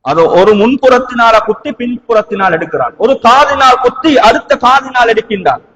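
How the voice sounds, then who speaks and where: Male Islamic preacher, Sri Lankan Tamil accent, high voice, emphatic and rhetorical, recorded in a big hall with long reverb. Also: lively intonation, normal speed. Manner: rhetorical questions, emphatic repetition, swinging between hushed and raised delivery. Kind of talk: impassioned mosque sermon in Tamil with Arabic quotations. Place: large echoing mosque hall. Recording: long hall reverb, noticeable background noise.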